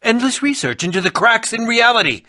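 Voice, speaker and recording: A man voicing an excitable, eccentric and pompous adventurer-scholar for a video game, theatrical and grandiose, with dramatic pauses and sudden outbursts. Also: very high-pitched, no echo, clean studio sound, heavily acted. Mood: intrigued, grandiose. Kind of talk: animated video game character acting.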